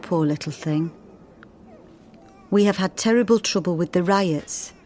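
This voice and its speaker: A woman's voice: on the low side, a little mellow, a little hiss or hum, lightly acted. An actress playing a long-suffering working-class wife and mother of the thirties and forties, confiding in letters with weary plainness. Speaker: actor in radio drama. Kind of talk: dramatised letter reading.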